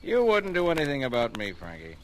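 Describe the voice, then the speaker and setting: An actor playing a smug, taunting, domineering American lumber mill boss in a 1940s radio drama. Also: male voice, medium pitch, wildly swooping pitch, fairly quick, a little hiss or hum.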